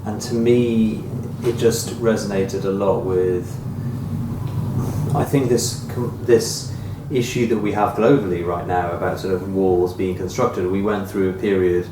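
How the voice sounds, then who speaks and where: A man's voice: low voice, steady tone, unhurried. A man taking part in an informal book discussion, thoughtfully putting comments and questions to an author in tentative phrasing. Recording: echoey room, distant mic, faint noise.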